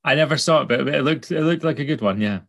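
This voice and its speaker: A man's voice: mid-range voice, fast talker, gravelly, a bit bright. A man hosting a podcast, asking questions in a friendly, casual way. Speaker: podcast host, interviewer. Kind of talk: casual interview chat.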